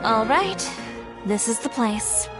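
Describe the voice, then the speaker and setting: Assured young designer, lively higher voice. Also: female voice, slow pace, over loud music. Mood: confident. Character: confident, brisk young female fashion designer, self-assured. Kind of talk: video game voice acting.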